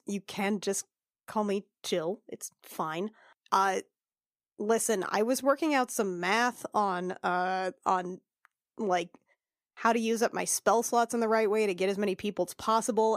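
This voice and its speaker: A woman with an average-pitched voice talks through her moves in a tabletop game. She is casual and a little self-conscious, stopping and starting as she goes. The sound is dry. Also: no room sound. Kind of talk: casual in-character table talk.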